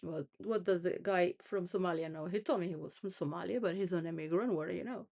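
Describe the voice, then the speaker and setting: A woman's voice: lowish voice, fast, gravelly, darkish tone. Rambling woman vlogger, wandering talk. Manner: meandering, restarts, emotional swings, laughs between phrases. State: homeless. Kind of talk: rambling personal monologue.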